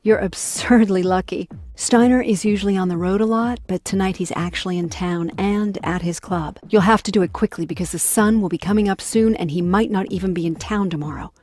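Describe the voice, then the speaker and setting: A woman's voice: mid-range voice, fairly quick, few pauses, a little mellow, natural voice. A woman playing a frank, resourceful vampire ally in a video game. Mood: resigned, practical. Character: exasperated, resourceful female vampire ally of the hero. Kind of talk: video game cutscene dialogue.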